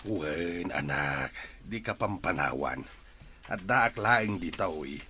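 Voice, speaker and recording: A man's voice: deep voice, animated, slow pace, sharp tone, a little hiss or hum, exaggerated voice. A man playing a worried, grieving father pleading over his troubled son in an Ilocano radio drama. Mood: anxious, pleading.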